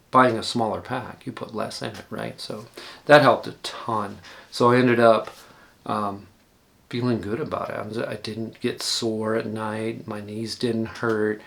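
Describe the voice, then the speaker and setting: A man in his sixties giving casual, rambling hiking advice, often pausing and correcting himself. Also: lowish voice, creaky. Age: 60s. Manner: relaxed, rambling, self-correcting. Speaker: hiking vlogger.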